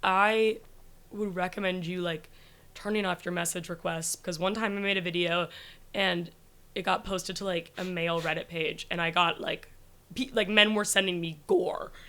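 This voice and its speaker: A woman with a naturally raspy voice chatting casually on a podcast, talking in a rush, full of likes and restarts, often laughing. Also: lowish voice, steady tone, normal speed, pushed and bright. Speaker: comedian guest.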